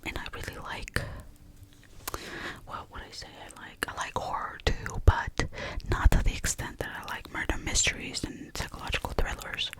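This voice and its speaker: A young woman from Albania, whose first language is Albanian, whispers through a rambling ASMR question-and-answer session full of fillers. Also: rough recording. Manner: soft, rambling and digressive, with many fillers. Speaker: ASMR creator.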